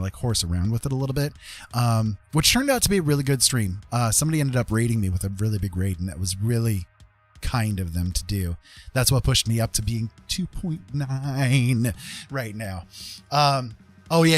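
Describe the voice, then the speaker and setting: A man livestreaming in a casual, rambling way, with a jokey, self-deprecating, colloquial manner. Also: mid-range voice. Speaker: live streamer and digital artist.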